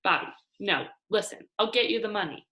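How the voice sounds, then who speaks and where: Woman reading as a gruff, dismissive motel boss. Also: mid-range voice, fairly quick, bright, forceful tone, reverberant, close to an everyday voice. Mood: urgent. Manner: blunt, no-nonsense.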